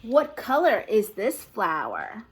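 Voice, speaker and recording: A woman's voice: mid-range voice, wildly swooping pitch, slow pace, husky. A woman teacher reading a picture book aloud to toddlers, asking encouraging questions in a sing-song, exaggerated way.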